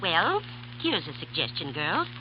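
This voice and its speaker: A cheerful, amused mother with lively, musical intonation, offering practical ideas. It sounds like a muffled old broadcast with faint hiss. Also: fairly low pitch, unhurried. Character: cheerful mother in a radio ad skit. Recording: dull 1930s radio broadcast.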